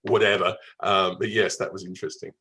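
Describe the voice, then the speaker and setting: Husky low-voiced Australian man. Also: flat delivery, fairly quick, sharp tone. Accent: Australian, mild Melbourne. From Melbourne, Australia. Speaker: travel writer being interviewed. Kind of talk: conversational storytelling in an interview.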